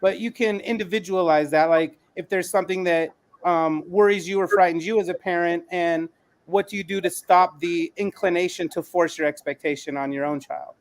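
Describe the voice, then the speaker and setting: A man's voice: high-pitched, steady tone, normal speed. A man hosting a panel podcast, informally putting questions to guests in an encouraging, direct way. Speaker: podcast host, moderator.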